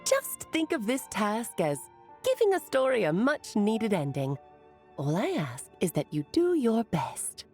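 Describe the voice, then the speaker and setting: A woman's voice: mid-range voice, wildly swooping pitch, unhurried, darkish tone, soft background music. A woman voicing a playful, whimsical sorceress aunt in a video game, with a theatrical, airy and teasing manner. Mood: breezy, reassuring.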